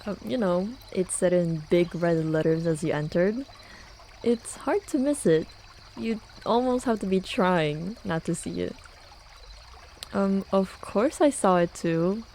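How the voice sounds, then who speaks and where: A woman's voice: on the low side, unhurried, mellow, slight background noise. A young woman acting a playful, teasing student friend in a casual, intimate ASMR roleplay. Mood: playfully teasing. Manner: casual, teasing, with sighs and chuckles. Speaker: voice actor. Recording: running and trickling water behind voice. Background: hot spring water ambience.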